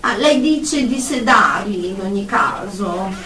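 An actress playing an anxious, deferential psychotherapist in a radio drama, speaking haltingly and apologetically on a phone call to a superior. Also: female voice, medium pitch, animated, sharp tone, some chatter behind. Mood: worried, hesitant. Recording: echoey room, distant from the mic.

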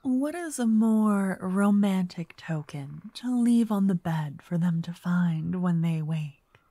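A woman voices a wealthy vampire lady, romantic and doting, in an ASMR audio drama. Her voice is mellow, soft and breathy, often slipping into a whisper. She talks slowly and elegantly, flirting gently, very close to the mic in a dry space. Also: lowish voice, lively intonation, no room sound, heavily acted. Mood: musing. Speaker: ASMR roleplay voice actor. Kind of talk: intimate ASMR roleplay, half-whispered. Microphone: close microphone in a dry, quiet space.

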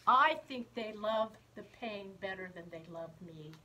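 A woman's voice: medium pitch, lively intonation, a little slow, sharp tone, a little hiss or hum. A woman reading a picture book as a jealous, indignant eight-year-old, with whiny, emphatic complaints. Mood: sulky, resentful. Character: jealous, indignant eight-year-old third grader griping about younger brother.

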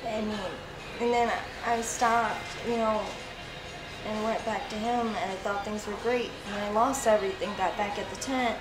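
A woman's voice: mid-range voice, steady tone, normal speed. A woman in her twenties telling her own story candidly in an interview, rambling and emotional, swearing freely. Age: 20s. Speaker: street interview guest. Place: indoors in a fast-food restaurant seating area. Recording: echoey indoor space with music playing.

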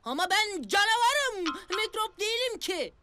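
A woman's voice: extremely high pitch, lively intonation, normal speed, heavy background noise. A woman voicing a playful, mischievous small child in a children's cartoon, bouncy and teasing. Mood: cheeky. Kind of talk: animated children's cartoon acting.

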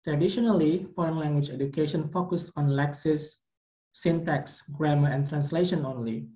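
A man's voice: fairly high pitch, mellow, reverberant. Indonesian man giving an academic talk. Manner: careful, measured academic delivery with some fillers. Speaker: PhD candidate presenting research. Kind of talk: recorded conference presentation over slides.

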